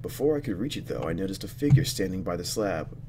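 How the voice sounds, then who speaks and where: Low-voiced man doing game commentary. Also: quick pace, background noise. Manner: expressive, thinking out loud. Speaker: let's player reacting to a visual novel. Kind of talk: gaming commentary mixed with reading game text aloud.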